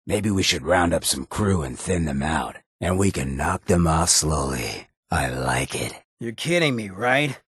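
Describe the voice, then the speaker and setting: An actor voicing a sardonic, streetwise ghoul town boss for a video game, with a gravelly rasp and a sly, slangy delivery. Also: male voice, low-pitched, sing-song, measured pace, hardly any pauses, a bit bright, dry recording, heavily acted. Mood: cool, approving. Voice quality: gravelly, raspy. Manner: sly, laid-back, slangy. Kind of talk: video game companion voice lines.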